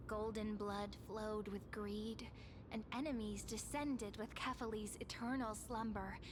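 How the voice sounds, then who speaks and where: Soft, teasing young storyteller. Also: female voice, a little high, background noise. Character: gentle, playful young woman companion and storyteller. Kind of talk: scripted game narration and dialogue. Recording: game audio with loud music behind.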